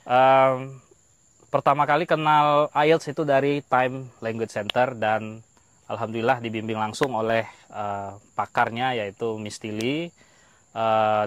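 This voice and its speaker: A man's voice: fairly low pitch, a bit bright, clean tone, no echo. A young man, a lecturer being interviewed, giving casual personal testimony in hesitant, piecemeal phrases with an agreeable manner. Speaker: interview guest, university lecturer.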